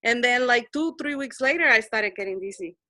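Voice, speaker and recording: Earnest woman in her forties, bright. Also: fairly high pitch, lively intonation, a little fast, no echo, clean studio sound. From El Salvador. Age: 40s. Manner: earnest, repeats herself for emphasis. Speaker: Zoom meeting participant. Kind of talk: personal story in group video chat. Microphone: video call.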